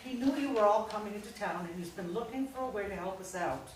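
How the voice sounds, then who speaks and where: A woman's voice: medium pitch, fast talker, lightly acted. A woman playing a fussy, nervously cheerful middle-aged mother in a live staged reading, brittle and placating. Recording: very echoey room, distant mic, hiss.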